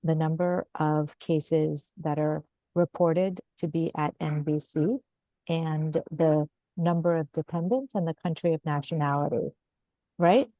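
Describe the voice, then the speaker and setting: Woman host on a video call, lowish mellow voice, asks lots of questions. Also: steady tone, unhurried, no echo, poor audio. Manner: thinks aloud, asks questions. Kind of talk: webinar discussion.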